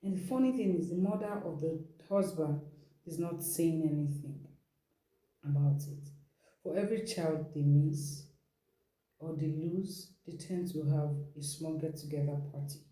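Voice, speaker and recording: Dark-voiced woman telling a story. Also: sits low, measured pace. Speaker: storytelling host. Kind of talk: informal storytelling from a listener's account. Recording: roomy, echoing sound.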